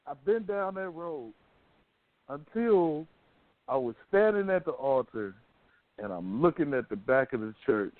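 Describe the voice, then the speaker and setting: A man's voice: on the high side, lively intonation, a little slow, dry recording. Guy hosting casual radio talk, colloquial storyteller, dry tinny phone sound. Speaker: radio talk show host. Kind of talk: casual opinionated chat. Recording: thin phone-like band-limited sound.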